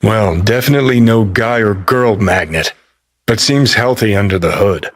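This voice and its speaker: An actor playing a cynical, brash rebel rocker in a video game, with a sardonic, profane and taunting manner. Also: male voice, fairly low pitch, moderate pace, lightly acted. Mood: sardonic, dry.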